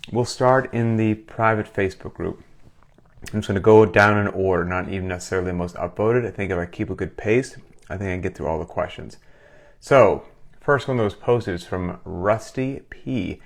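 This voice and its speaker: A man's voice: lowish voice, moderate pace, gravelly. A man with a cold, hosting a casual live question-and-answer session as a fitness coach, talking with enthusiasm. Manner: enthusiastic, conversational, reads questions aloud. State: getting over a cold, sucking a cough drop. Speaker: gym owner and coach hosting a Q&A. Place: recorded for a live stream.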